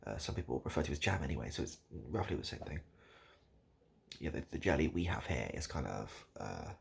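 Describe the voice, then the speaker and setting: A British man doing improvised, absurd comedy straight to the listener in a hesitant, repetitive, deadpan ramble. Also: very deep voice, fairly quick, creaky, husky. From United Kingdom. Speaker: comedic video host. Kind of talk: improvised absurd comedy roleplay addressed to the viewer.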